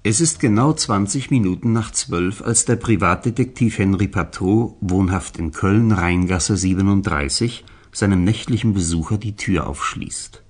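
A man's voice: low voice, normal speed, hardly any pauses, breathy edge. A man narrating a detective radio play in a precise, matter-of-fact, scripted way.

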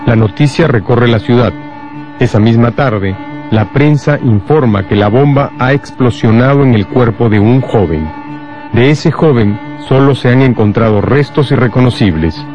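A man narrating a radio drama in a scripted storytelling style. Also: mid-range voice.

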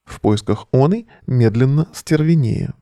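A man's voice: medium pitch, animated, unhurried, noisy background. A male actor playing a weary, sardonic bohemian in an audio play, delivering a verse monologue over the phone in an ironic, declamatory way. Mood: bitter, ironic.

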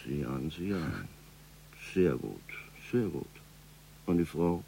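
A man's voice: extremely low pitch, big pitch swings, takes it slowly, vocal fry, mellow, slightly rough, heavily acted. An actor playing a domineering, menacing crime boss in a radio drama, curt and sarcastic as he gives orders. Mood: cold, commanding. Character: domineering, menacing male boss of a criminal gang.

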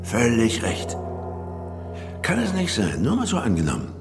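An actor playing a drunken, excitable collector of antiquities in a German radio drama, emphatic and repeating himself in a halting way. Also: male voice, medium pitch, over music. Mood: musing.

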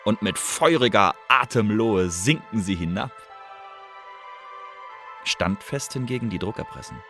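A devoted young poet and secret agent of Elizabethan times in a radio play. His voice is very low, and he savors each image lyrically as he voices a letter home. Faint music runs behind him. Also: lively intonation, unhurried, pushed and bright, full character voice. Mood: musing, painterly. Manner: lyrical, savoring descriptive lists. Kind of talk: German radio play monologue, literary letter.

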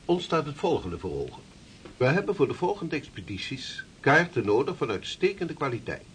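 An actor playing a courteous, pious, learned gentleman in a historical radio drama, speaking formally and deliberately. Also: on the high side, sing-song, a little hiss or hum. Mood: firm, explaining.

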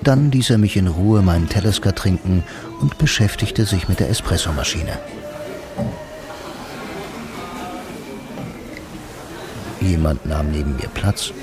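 An actor playing a blind, brooding film-noir loner who narrates a radio drama in terse, dreamy lines. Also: male voice, deep voice, animated, moderate pace. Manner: terse, lyrical sentences. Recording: music under the voice. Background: music.

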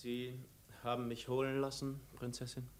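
An actor playing a cool, ironic adventurer posing as a prince, measured and sardonically polite, in 1950s radio drama style. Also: male voice, mid-range voice, completely flat delivery, over music, natural voice. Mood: cool, reserved.

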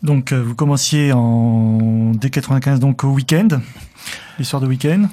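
A man hosting a podcast, asking polite, measured interview questions. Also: mid-range voice, dark tone.

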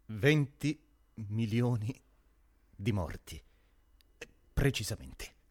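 An actor playing a sycophantic, bloodthirsty official, an eager crony and sly haggler who speaks emphatically in a theatrical radio drama. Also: male voice, on the low side, expressive, extremely slow, slightly rough, full character voice. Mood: wheedling.

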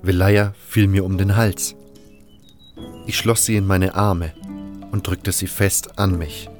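Guy with a low voice narrating a fantasy audio drama, measured, music underneath. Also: steady tone. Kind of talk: scripted first-person fantasy storytelling.

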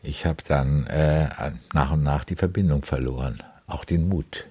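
A man's voice: extremely low pitch, measured pace, mellow, husky, full character voice. An actor playing a reserved, resigned older man in a conversational radio drama, speaking hesitantly and subdued. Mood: resigned, regretful.

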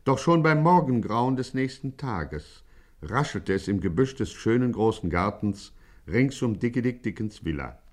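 An actor as the wry narrator of a 1950s German radio gangster satire, telling the story with dry irony. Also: male voice, mid-range voice, sing-song, normal speed. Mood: dryly ironic. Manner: dryly ironic, storytelling.

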